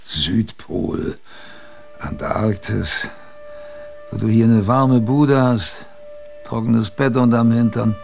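Gravelly old seafaring grandpa. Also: male voice, mid-range voice, a little mellow, faint music behind. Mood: grumbling, fond. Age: older. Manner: colloquial, salty storytelling with musing pauses. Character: gruff, affectionate old seafaring grandfather reminiscing to his granddaughter. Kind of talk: radio drama first-person narration.

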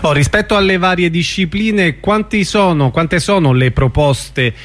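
A man's voice: high voice, a little slow, fairly bright tone. A man hosting a radio interview, asking questions with some hesitation and fillers. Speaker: radio host.

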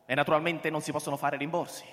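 A man's voice: on the high side, flat delivery, fast, fairly bright tone, lightly acted. An actor playing an eccentric, bitter old man who sells illegal escapes, speaking formally and theatrically in a radio drama. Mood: explanatory. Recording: long hall reverb, music behind.

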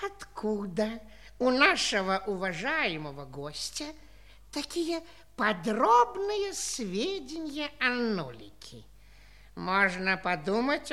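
An actress playing an ironic, sceptical listener in a children's audio play, speaking theatrically and with emphasis. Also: female voice, mid-range voice, expressive, takes it slowly, bright, forceful tone.

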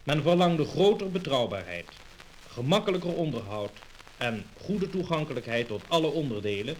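A man reading scripted mid-century promotional narration in a formal, measured way. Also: a little high, big pitch swings, a little mellow. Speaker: narrator of an industrial promotional film. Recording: noisy old lacquer test disc.

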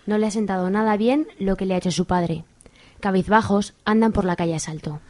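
A woman's voice: medium pitch, steady tone, a little fast, a little mellow, no echo. A woman delivering scripted narration as the narrator of a comic radio soap.

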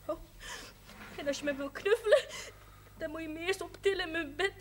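Sick young woman stuck in bed, sharp tongue, high voice, tv drama, bit hissy. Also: fairly quick. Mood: angry, distressed. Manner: blunt, swearing, emotional. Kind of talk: naturalistic television drama acting. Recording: noisy old television broadcast audio.

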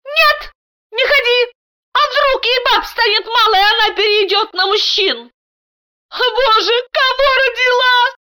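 Shrill, hysterical, sharp-tongued wife. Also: female voice, expressive, a little slow, full character voice. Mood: tearful, frightened. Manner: shrieking, whiny, hissing.

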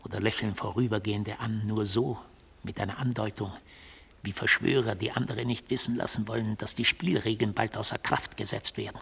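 An actor playing an obsessive defendant in a radio play, arguing in an insistent, precise, rhetorical manner. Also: male voice, fairly low pitch, fairly even intonation, a little fast, creaky, a bit bright, breathy edge, full character voice. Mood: fervent. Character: obsessive male defendant, a mystical god testifying in court. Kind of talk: 1970s German radio play acting.

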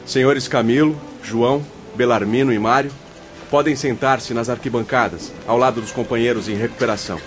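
A man acts a learned, composed male spirit instructor in a radio drama. His medium-pitched voice is formal and authoritative yet courteous. Faint music runs beneath. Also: fairly quick, close to an everyday voice. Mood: courteous authority.

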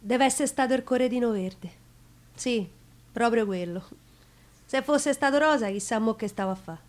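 A woman's voice: on the high side, moderate pace, natural voice. An actress playing a chatty, wistful Roman woman who tells stories on stage, rambling colloquially to her audience with a Roman accent. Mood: chatty, wry. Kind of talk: theatrical monologue in dialect.

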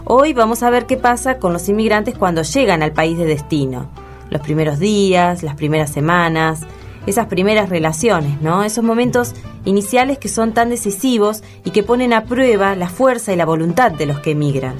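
A woman hosting a radio program, reading a scripted introduction in a clear, measured way. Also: medium pitch, expressive, faint music behind.

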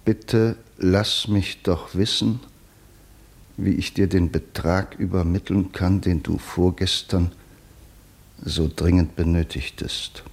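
An actor playing a reflective writer narrating his diary in a literary radio drama, husky, measured and formal. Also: deep voice, fairly even intonation, darkish tone, exaggerated voice.

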